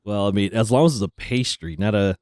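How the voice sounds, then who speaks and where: A man co-hosting a podcast in casual chat, an animated storyteller who acts out quotes loudly and laughs often. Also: fairly low pitch, brisk, dry recording, clean studio sound. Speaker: podcast co-host.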